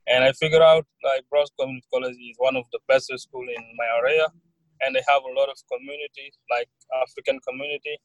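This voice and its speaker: A young man with a Senegalese accent, a student guest talking casually with halting, non-native phrasing in an interview. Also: mid-range voice, fairly even intonation, no room sound, rough recording. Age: young adult. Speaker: student guest, recent graduate.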